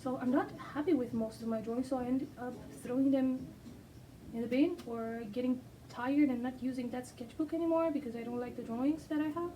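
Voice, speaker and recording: A woman who speaks English as a second language, rambling hesitantly with many pauses as she sketches. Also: sits high, steady tone, dark tone, a little hiss or hum. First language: not English. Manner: hesitant, many ums, self-deprecating. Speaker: artist sketching on camera. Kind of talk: casual rambling vlog while drawing. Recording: distant mic with background music.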